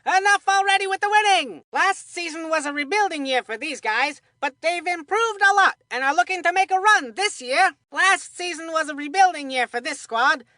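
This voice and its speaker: High, bright, folksy cartoon baseball commentator. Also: female voice, lively intonation, normal speed, few pauses, no echo, full character voice. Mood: upbeat, folksy. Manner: folksy, colloquial, exclamatory. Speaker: sports commentator in a children's baseball video game.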